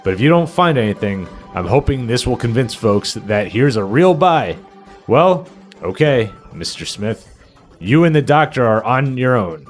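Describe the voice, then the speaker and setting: A man playing a brisk, matter-of-fact local house seller in a spooky radio play. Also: medium pitch, sing-song, soft background music.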